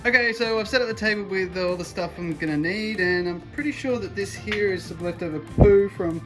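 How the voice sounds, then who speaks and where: A man's voice: high voice, fairly quick, music in the background. Offhand Australian man, DIY vlog. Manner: chatty, offhand, self-deprecating. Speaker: electrician vlogger.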